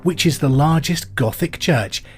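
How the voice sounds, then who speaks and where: A middle-aged man hosting a pub quiz podcast, reading out trivia questions and answers in a clear, deliberate way. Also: fairly high pitch. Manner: clear deliberate delivery, repeats each question. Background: music bed.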